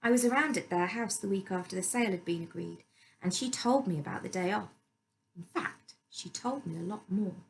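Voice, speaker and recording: A woman's voice: medium pitch, brisk, creaky. Woman reading a story, noisy recording. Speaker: storyteller reading a book aloud. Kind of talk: scripted story narration.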